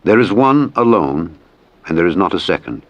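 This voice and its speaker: Very deep-voiced man reading scripture. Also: very animated, moderate pace, dry recording, muffled recording. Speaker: scripture narrator. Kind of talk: solemn scripted Bible reading.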